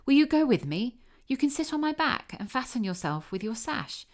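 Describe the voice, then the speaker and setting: A woman's voice: sits high, big pitch swings, moderate pace, voice pushed up. A woman voicing a friendly, grateful male swallow in a storybook, earnest and coaxing. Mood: eager, coaxing.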